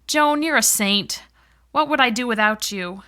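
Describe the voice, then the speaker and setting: A woman's voice: on the high side, a little slow, lightly acted. A woman playing a worried, downcast wife in soap-opera dialogue for English learners, enunciating carefully. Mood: weary, grateful. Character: worried, downcast wife fearing her husband's affair.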